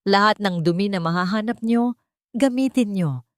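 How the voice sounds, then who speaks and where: A woman's voice: medium pitch, normal speed, no echo, studio quality, pitched above the performer's own voice, lightly acted. Woman voicing a cold, commanding rich matriarch. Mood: ruthless. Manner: calm but cutting, imperious.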